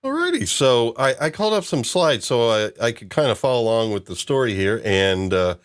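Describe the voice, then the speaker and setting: A man's voice: medium pitch, very animated, a little fast, clean tone. A man hosting a podcast, chatting casually about a fan-made audio drama, chuckling now and then as he talks. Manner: chuckles while talking. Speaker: podcast host.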